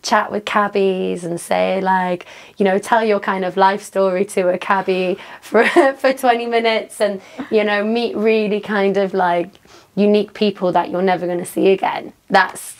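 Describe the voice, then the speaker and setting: A woman's voice: medium pitch, fairly even intonation, normal speed. A young woman from Newcastle with a Geordie accent, answering interview questions in a casual, chatty way with lots of "um" and "like". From Newcastle, England. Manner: chatty, fillers like "um" and "like". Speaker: interview guest, DJ.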